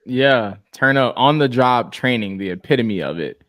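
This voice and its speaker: A man's voice: medium pitch, animated, moderate pace, fairly bright tone, no room sound, clean studio sound. A man hosting a casual interview podcast, responding in an affirming, encouraging way and posing questions.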